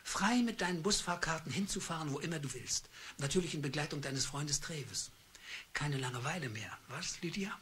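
A bitter husband in an old radio drama, laying out his accusations coldly and deliberately. His voice sounds very husky and rough. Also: fairly high pitch, animated, bright, forceful tone, heavily acted. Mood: coldly accusing. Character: bitter middle-aged husband turned cold avenger. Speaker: husband Charles. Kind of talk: radio play acting.

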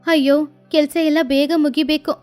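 A woman's voice: sits high, flat delivery, normal speed, darkish tone, faint music behind, natural voice. A woman doing a fretful mother sparrow. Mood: worried. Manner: worried, fretting. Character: mother sparrow hosting a village feast, anxious.

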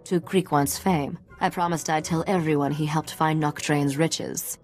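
Low-voiced, sly treasure hunter. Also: female voice, steady tone, unhurried. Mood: sly, businesslike. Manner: cool, knowing. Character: shrewd, confident female treasure hunter, secretive and sly. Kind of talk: video game dialogue acting.

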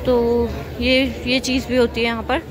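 A woman with a Pakistani Urdu accent gives a soft, devout, explanatory tour as she walks through a large crowded mosque, talking into a handheld phone camera. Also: fairly high pitch, flat delivery, moderate pace. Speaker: vlogger. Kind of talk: walking tour vlog narration. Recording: noisy crowd ambience.

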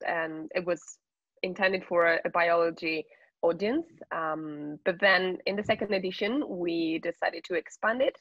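A woman with a low voice opens an online session as its organizer. She speaks slowly and hesitantly, with fillers as she goes. The audio is dry and dull on a video call. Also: creaky, dry recording. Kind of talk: casual introduction on a video call.

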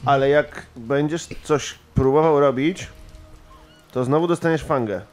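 A man's voice: a little high, unhurried, a little mellow, faint music behind. A man playing a tabletop role-playing game, talking casually in character.

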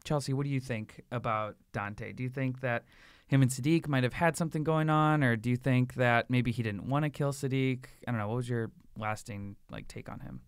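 Brief: male podcast host, smooth clear voice, upbeat, close dry mic. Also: medium pitch, fairly quick, dry recording. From South Carolina. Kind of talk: casual podcast chat.

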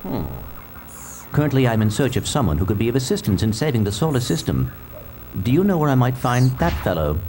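An actor playing a small, polite robot in a video game, speaking formally and articulately. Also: male voice, medium pitch, big pitch swings, fairly quick, darkish tone, a little hiss or hum, lightly acted, poor audio. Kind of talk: video game cutscene acting.

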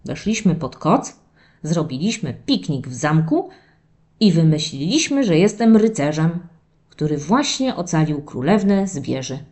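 Woman as an animated puppy storyteller, unhurried. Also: sits low. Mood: playful, warm. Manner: expressive, drawn-out dramatic telling addressed to children. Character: playful young male terrier puppy narrating his diary. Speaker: narrator of a children's audio story series. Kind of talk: animated first-person children's storytelling.

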